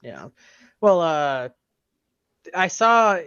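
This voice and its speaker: A man's voice: a little high, sing-song, very slow, bright, forceful tone, clean tone, dull audio. A man co-hosting a podcast, chatting casually and bantering with friends. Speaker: podcast co-host.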